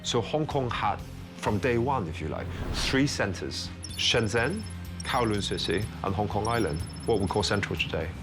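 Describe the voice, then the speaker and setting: A man giving a measured, explanatory documentary interview about history. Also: lowish voice, animated, music in the background. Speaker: commentator on history.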